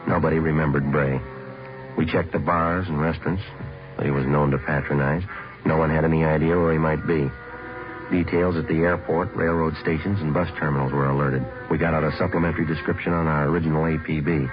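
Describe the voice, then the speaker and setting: Very deep-voiced deadpan police detective. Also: male voice, a little fast. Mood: deadpan, factual. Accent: American. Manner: clipped, deadpan. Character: terse, businesslike male police sergeant detective. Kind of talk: 1950s radio drama narration and acting. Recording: dull old radio recording, music behind.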